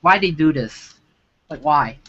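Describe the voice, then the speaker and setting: High-voiced man on a call. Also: animated, measured pace, fairly bright tone. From Hong Kong. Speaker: stream host. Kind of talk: casual online voice chat. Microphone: online voice call. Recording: lo-fi call audio with faint noise.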